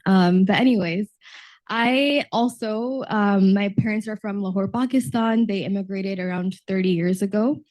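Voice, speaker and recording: A woman's voice: mid-range voice, normal speed, darkish tone. A woman in her twenties from Texas, a junior diplomat on a panel, sounding slightly sick from a cold and telling her story earnestly with frequent fillers. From Texas, United States. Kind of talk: panel discussion.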